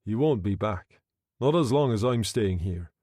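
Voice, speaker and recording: A man voicing a calm, quietly menacing Irish gentleman who speaks in a soft, conversational tone. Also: lowish voice, normal speed, dry recording, studio quality. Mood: quiet menace.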